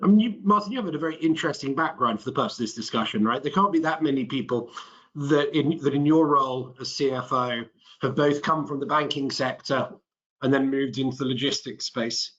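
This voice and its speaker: A middle-aged man, a chief executive, presenting to a business webinar in a measured corporate manner. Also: medium pitch, a bit bright. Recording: muffled webinar audio.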